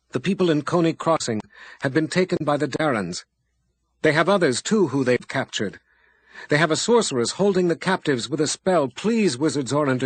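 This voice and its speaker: A man reading a desperate young mother in an audiobook, her lines pitched up and pleading. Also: breathy edge, no room sound. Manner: raised pleading, breaking into wails.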